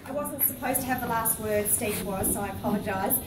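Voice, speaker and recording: A woman hosting a meeting and introducing speakers in a brisk, matter-of-fact way. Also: fairly high pitch, over a noisy crowd. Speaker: meeting host. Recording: echoey room, distant mic, noisy.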